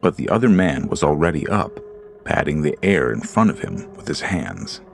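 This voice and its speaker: A man reading a horror story aloud in slow, deliberate, scripted narration. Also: very deep voice, big pitch swings, vocal fry, a little hiss or hum. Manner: slow, deliberate, ominous delivery.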